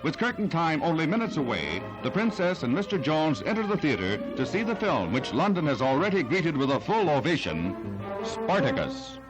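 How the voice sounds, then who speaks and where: A man's voice: on the high side, very animated, moderate pace, rough recording. A man narrating a 1960s newsreel in a formal, declamatory announcer's delivery, reading from a script. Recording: vintage newsreel soundtrack with music.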